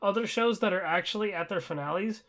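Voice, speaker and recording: A man's voice: sits high, fast, a loud crowd. A man co-hosting a podcast in casual pop culture chat. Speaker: podcast co-host.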